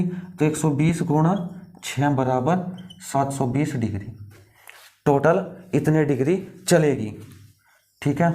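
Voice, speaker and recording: Male tutor, relaxed dark timbre, instructive, repeats key phrases and checks that the learner follows. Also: fairly high pitch. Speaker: teacher. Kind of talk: step-by-step exam lesson.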